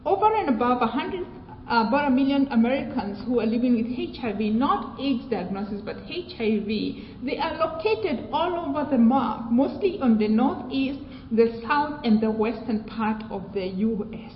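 A woman from Kenya with a British accent, giving a measured academic presentation with fillers and restarts. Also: fairly high pitch, animated. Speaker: research presenter. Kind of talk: academic conference talk. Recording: echoey hall, dull sound, faint noise.

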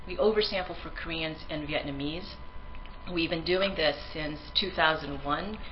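A woman's voice: sits low, lo-fi sound, noisy background. A woman researcher giving a conference presentation in an earnest manner, with frequent ums and restarts; her first language is Tagalog.

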